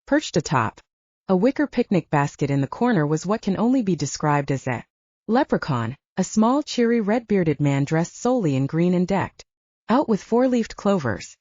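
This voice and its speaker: Mellow, expressive woman narrator. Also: lowish voice, normal speed, dry recording, lo-fi sound. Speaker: audiobook narrator. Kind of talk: scripted first-person fiction narration.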